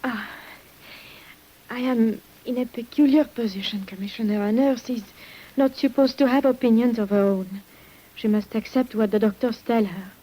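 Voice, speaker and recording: An actress playing a weary, secretive French nurse in an old radio drama, speaking formally and hesitantly with breathy sighs. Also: female voice, a little high, normal speed, warm tone, lightly acted, rough recording. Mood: guarded, solemn. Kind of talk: 1950s radio drama acting. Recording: hissy old radio recording.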